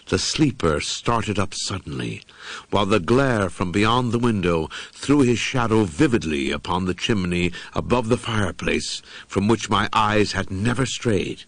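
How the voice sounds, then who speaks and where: A man narrating a first-person horror audiobook in a solemn, brooding, deliberate manner with dramatic pauses. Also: sits low, expressive, a bit bright, no echo.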